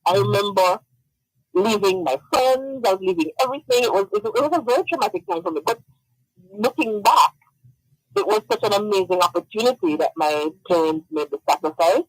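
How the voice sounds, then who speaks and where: A man from Jamaica, a guest in a casual radio interview, who talks animatedly, laughs often and repeats words when excited. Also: high-pitched, moderate pace, no room sound, poor audio.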